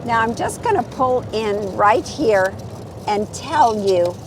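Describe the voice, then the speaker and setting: A woman's voice: fairly high pitch, animated, slow pace, a little hiss or hum. A woman real estate agent giving a casual, friendly walking tour of a lakeside community outdoors, telling stories as she goes. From California. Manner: friendly, chatty storytelling. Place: outdoors on a lakeside golf course trail.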